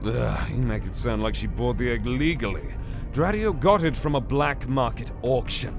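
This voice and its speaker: An actor in an audio drama playing a suave, cheeky master thief with flowery, mock-courteous manners. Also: male voice, medium pitch, lively intonation, moderate pace, over music, natural voice. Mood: wry, evasive.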